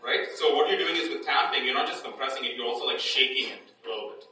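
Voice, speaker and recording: A man's voice: medium pitch, quick pace, bright, forceful tone. A man leading a Bible study class, explaining casually with frequent "right?" checks and restarts, then praying aloud. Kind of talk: casual teaching, then spoken prayer. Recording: echoey room, distant mic, faint ambience.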